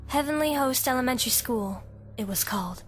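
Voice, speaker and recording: A woman's voice: high-pitched, expressive. A woman playing a teenage schoolgirl with a theatrical, spooky storytelling manner in an anime fan dub. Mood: eerie, ominous. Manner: hushed, drawn-out, ominous storytelling. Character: teenage schoolgirl telling an eerie ghost story, easily frightened. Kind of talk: dramatic ghost-story narration in an anime fandub. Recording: background music behind the voice.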